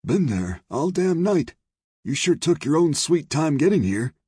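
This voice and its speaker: Anxious Old West saloon keeper: high, raspy, cranky, pleading drawl, close dry sound. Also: male voice, sing-song, moderate pace, dry recording. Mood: darkly anxious. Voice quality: raspy, high-pitched strained voice. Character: frightened, cranky older saloon owner, male. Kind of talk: western audiobook character dialogue.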